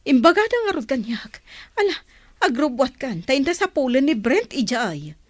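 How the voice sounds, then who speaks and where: A woman's voice: high-pitched, big pitch swings, moderate pace, dry recording. An actress playing an anxious wife urging her husband to search in a radio drama.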